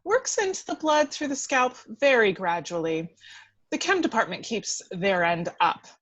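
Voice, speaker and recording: A woman playing a brisk, clinical lab technician with a deadpan edge in a film script. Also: on the high side. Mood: dry, disdainful.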